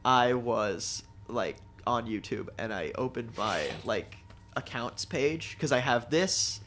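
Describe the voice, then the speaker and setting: A young man co-hosting a casual podcast, telling quick stories and laughing often, a little stuffed up. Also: medium pitch, animated, fairly bright tone. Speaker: podcast co-host. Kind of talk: casual chat.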